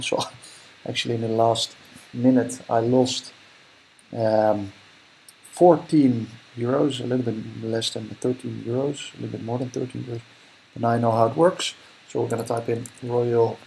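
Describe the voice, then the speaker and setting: A man's voice: medium pitch, vocal fry, a little hiss or hum, poor audio. A young Dutch man with a Dutch accent, thinking aloud and correcting himself as he talks through a screen recording. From Netherlands. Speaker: investing vlogger. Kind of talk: casual screen-recording commentary.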